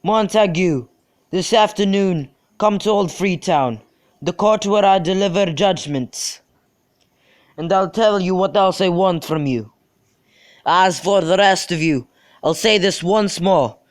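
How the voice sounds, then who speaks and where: A man performing as an angry prince in a student radio play. He declaims sternly and with command, in a male voice pitched a little high. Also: a little slow. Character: angry, commanding prince rebuking rioting citizens. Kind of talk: amateur student radio drama acting.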